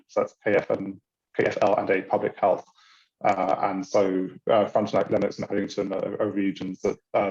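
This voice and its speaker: A British man walks new students through an online orientation, hesitating often with ums and uhs. His voice sits low and stays fairly level. The video call audio sounds dull and lo-fi. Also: a little mellow. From Britain. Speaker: university international programs staff.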